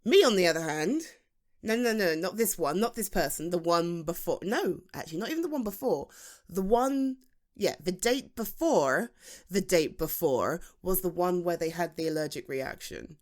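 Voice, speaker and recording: A woman's voice: fairly low pitch, normal speed, natural voice. A British woman playing a playful, chatty female best friend in a humorous, conversational ASMR roleplay. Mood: exasperated, amused. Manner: animated, conversational, teasing, with laughs and sighs.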